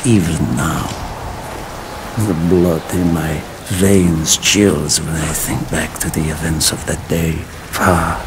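An actor playing a brooding older Russian soldier with a Russian accent and gravelly voice, solemnly recalling the war in a dramatic game narration. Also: male voice, extremely low pitch, level tone, noisy background. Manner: solemn, deliberate, breaking into near-whisper.

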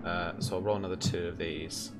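A man's voice: low voice, little pitch movement, brisk. A young man running a tabletop game as game master, narrating and talking rules in a casual, hesitant way. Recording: music behind the voice.

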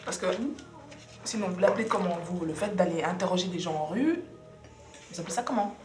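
A woman's voice: on the low side, brisk, natural voice. Actress doing blunt woman in a film drama, scolding, challenging, echoey room w music behind. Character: blunt, scolding housewife impatient with intellectual talk. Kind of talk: naturalistic film dialogue. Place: dining room at a dinner table. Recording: roomy interior with music behind.

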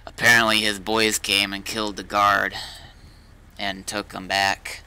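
A man talking casually and haltingly with fellow players in an online roleplay game. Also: fairly low pitch, little pitch movement, bright, forceful tone, smooth. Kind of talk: casual gaming chat with in-character roleplay.

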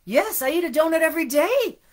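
A woman's voice: extremely high pitch, lively intonation, a little fast, voice pushed up. A woman voicing an awkward, bumbling man in a storybook, stammering and hesitant. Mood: flustered. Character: bumbling, awkward man who eats donuts, flustered.

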